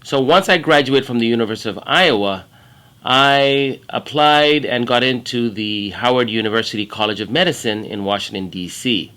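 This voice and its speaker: A middle-aged man from Kenya speaks in a measured, earnest way as he explains his work as an infectious disease doctor. His voice is bright and forward, at a middling pitch. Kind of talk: prepared career talk to camera.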